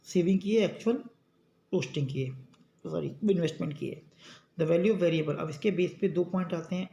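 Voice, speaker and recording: A male teacher giving an economics lesson, reading English definitions slowly and explaining them quickly. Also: sits high, gravelly, darkish tone. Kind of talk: classroom economics lecture. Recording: echoey room with faint ambient noise.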